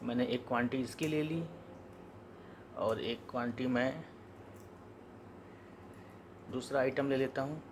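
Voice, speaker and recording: Indian man, mid-pitched voice, informal tutorial delivery, explanatory, faint background noise. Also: unhurried, pauses often. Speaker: software demonstrator. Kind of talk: informal step-by-step software tutorial.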